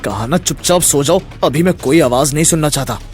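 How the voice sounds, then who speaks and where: A man's voice: on the high side, fairly quick, faint music behind, lightly acted. A man voicing a selfish, domineering young drunkard husband in a dramatized moral story. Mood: irritated, domineering.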